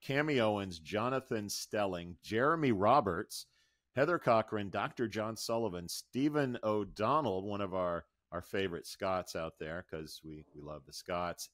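A man's voice: fairly low pitch, fairly bright tone, no echo. A middle-aged American man co-hosting a podcast, switching between upbeat banter and news reading into a close microphone. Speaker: podcast co-host. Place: indoor room at home, video call.

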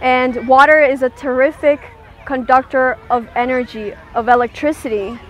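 A woman leading a guided meditation, giving gentle, soothing instructions and checking in with her listeners. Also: high voice, steady tone, moderate pace.

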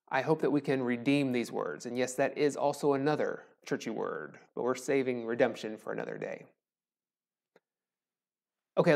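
A man in his forties preaches a recorded sermon from a small church sanctuary. He talks in a relaxed, conversational way, full of jokes and self-deprecating asides. His voice is picked up close on a lapel mic. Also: medium pitch, vocal fry. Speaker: pastor. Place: small church sanctuary with a wooden cross behind. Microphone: lapel mic, camera framed from the chest up.

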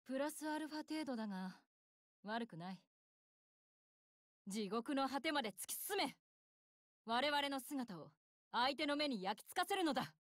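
A woman's voice: fairly high pitch, lively intonation, brisk, darkish tone, no echo, studio quality, lightly acted. An actress playing a proud, battle-hungry young warship girl in an anime game, speaking in a blunt, self-assured, tomboyish way. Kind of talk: Japanese anime game voice lines.

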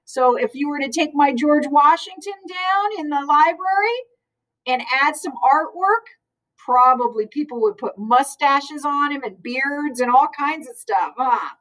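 A middle-aged woman reading aloud at children's story time in an expressive, teacherly manner. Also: sits high, unhurried. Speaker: school librarian reading aloud. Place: school library, indoors. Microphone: far camera. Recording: distant camera microphone.

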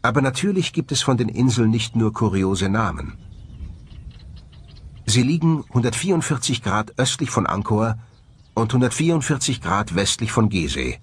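A man narrating a scripted documentary in a measured, explanatory way. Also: medium pitch, big pitch swings, breathy edge. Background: music under the voice.

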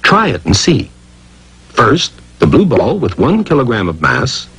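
A man delivers scripted educational cartoon narration with theatrical emphasis. His pitch swings widely from phrase to phrase, and his voice has a husky edge. He poses rhetorical questions as he explains. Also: huge pitch swings, unhurried, fairly bright tone, no room sound, poor audio.

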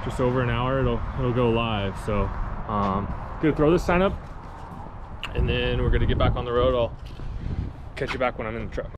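Man vlogging over loud vehicle rumble. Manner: halting, offhand, thinking aloud. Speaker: vlogger and real estate agent. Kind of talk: casual unscripted vlog narration. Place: in a truck and outdoors on streets. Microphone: handheld action camera. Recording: dull action-camera audio, loud vehicle rumble. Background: loud engine and road rumble.

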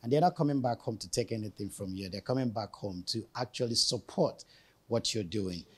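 A man's voice: on the low side, breathy edge. A man in his forties giving an explanatory press interview as a spokesman, speaking into a handheld microphone indoors. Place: bright indoor room. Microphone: handheld reporter's microphone.

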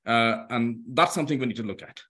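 A man with a foreign accent making a halting academic comment in a discussion, with many fillers and restarts. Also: medium pitch, sharp tone, husky. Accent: foreign-accented English.

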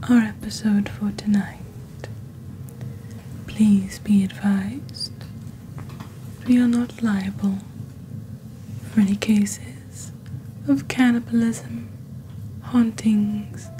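A young woman speaking softly and breathily in a scripted ASMR roleplay, slow, deliberate and eerily formal, as the host of a shopping channel. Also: mid-range voice, fairly even intonation, a little hiss or hum, rough recording. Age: young adult. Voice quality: soft, breathy, whispery. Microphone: close studio microphone on desk.

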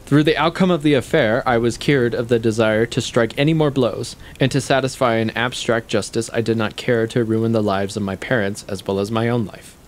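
An actor playing a haughty, coldly rational scholar in an audio stage play, speaking in a measured, disdainful way. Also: male voice, medium pitch, lightly acted. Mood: cold, matter-of-fact. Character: cold, haughty male scholar who killed a man. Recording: steady audible background noise.

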